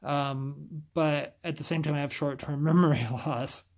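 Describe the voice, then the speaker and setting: A man's voice: a little high, steady tone, unhurried. A man with a scratchy voice talking casually to camera, often stumbling and restarting his sentences. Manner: stumbles over words, rambling restarts.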